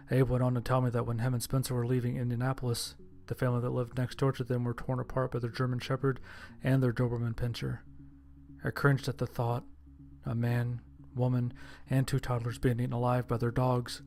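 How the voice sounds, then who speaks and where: Man playing an even-toned survivor narrating his ordeal. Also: mid-range voice, a little hiss or hum, close to an everyday voice. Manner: scripted, descriptive storytelling with casual profanity. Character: Simon, injured male survivor narrating his own ordeal. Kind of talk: first-person scripted horror audio drama narration.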